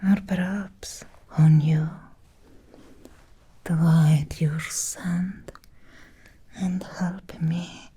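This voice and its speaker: A seductive female vampire from a Victorian ASMR roleplay, acted out by a woman, whispers in a very dark, breathy voice. She lingers extremely slowly over each phrase, intimate and teasing. Her manner is softly predatory and obsessed. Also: fairly low pitch, steady tone, heavily acted. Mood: flirtatious, hungry.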